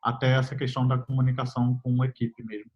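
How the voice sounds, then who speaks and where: A young man, a software developer talking casually in an interview, relaxed and full of fillers, laughing easily. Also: mid-range voice, fast. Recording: slightly muffled audio.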